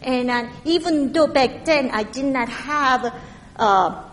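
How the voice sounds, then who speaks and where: A woman from Korea with a heavy Korean accent, preaching a sermon in a halting way, with many fillers and restarts. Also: high-pitched, long reverb tail, a little hiss or hum.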